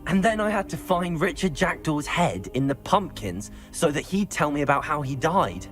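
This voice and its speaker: A man's voice: fairly high pitch, sing-song, moderate pace, fairly bright tone, soft background music. An actor playing an earnest young male wizard student in a video game, speaking politely and eagerly. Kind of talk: cinematic video game acting.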